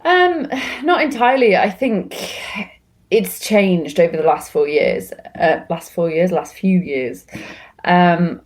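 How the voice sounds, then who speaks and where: A woman's voice: lowish voice, lively intonation, takes it slowly, darkish tone. A woman in her thirties from Wales, with a Welsh accent blended with London and Canadian traces, chatting reflectively as an ESL teacher guest with frequent ums and restarts. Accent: Welsh-English, mixed with London and Canadian influence. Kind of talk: conversational interview answers. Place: lives in Canada.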